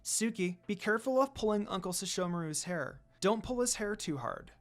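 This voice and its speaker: A reader voicing a gentle demon noblewoman and mother in a fan fiction story, speaking in a measured, courteous way. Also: mid-range voice, animated, natural voice.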